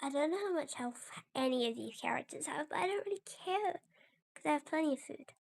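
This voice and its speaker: A woman's voice: high-pitched, lively intonation, brisk, creaky. A young woman giving casual, rambling, exclamatory reactions while she plays a game, close to the mic. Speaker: game streamer.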